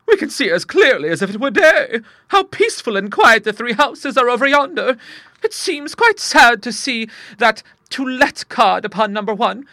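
A man doing a shrill, excitable old spinster. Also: normal speed, no room sound, studio quality, full character voice. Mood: fretful. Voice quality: high thin voice. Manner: breathless, exclamatory. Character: excitable elderly spinster woman, nervous and indignant.

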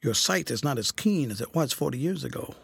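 A man voicing a whining, cringing old ex-soldier turned tramp, rough-spoken and slyly malicious, in a storybook reading. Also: medium pitch, lively intonation, brisk, no room sound, exaggerated voice. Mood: sly, menacing. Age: older. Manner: wheedling, rough colloquial speech.

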